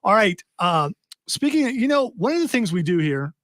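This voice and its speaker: A man's voice: high-pitched, big pitch swings, moderate pace, a bit bright, no room sound, studio quality. A man hosting a pop culture podcast, rambling with restarts as he steers the talk. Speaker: podcast host. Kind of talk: casual chat.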